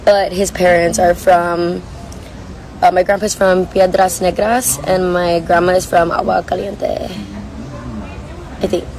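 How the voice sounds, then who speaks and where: Hoarse young woman, gravelly low voice. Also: flat delivery, unhurried, long pauses, darkish tone, a little hiss or hum, low-quality recording. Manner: chatty, rambling, reads comments aloud. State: hoarse, lost voice. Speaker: livestreamer answering viewer comments. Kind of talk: casual chat. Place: coffee shop.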